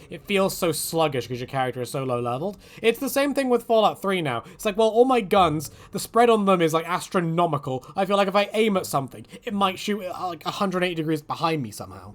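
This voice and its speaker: Hombre muy expresivo comentando videojuegos. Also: male voice, fairly high pitch. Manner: rambling, animated, jokey exaggeration. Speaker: video game commentator. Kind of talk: casual opinion commentary on video games.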